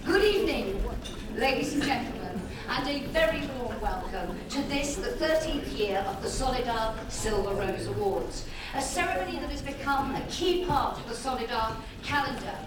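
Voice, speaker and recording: Woman emcee, high voice, formal welcome, very echoey hall, distant mic, loud crowd noise. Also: expressive, moderate pace, hardly any pauses. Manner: apologetic, handling sound trouble. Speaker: master of ceremonies. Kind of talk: award ceremony hosting. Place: large hall at an evening ceremony.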